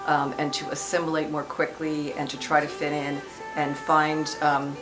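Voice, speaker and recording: A woman who teaches English as a second language gives thoughtful, measured interview answers, pausing often to think. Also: deep voice, completely flat delivery, poor audio. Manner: thoughtful, measured, with frequent "um" pauses. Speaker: ESL teacher. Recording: music bed under voice.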